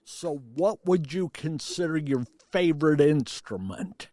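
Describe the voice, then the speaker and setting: Man, podcast host, fairly high voice, interviewing, very dry close recording. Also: lively intonation, unhurried, mellow, no room sound. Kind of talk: podcast introduction and interview questions.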